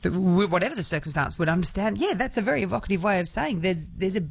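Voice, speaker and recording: A man hosting a radio show, chatting playfully with a guest and making quick jokes. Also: sits high, animated, gravelly, a little hiss or hum. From grew up in Jakarta. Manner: playful, jokey, quick asides. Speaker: radio host. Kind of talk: radio interview chat. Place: radio studio. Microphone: studio microphone.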